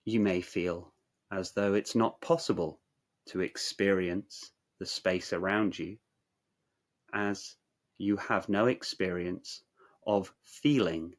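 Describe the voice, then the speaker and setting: A man narrating a guided meditation in a measured, soothing, instructional way, leaving long pauses. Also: deep voice, fairly even intonation.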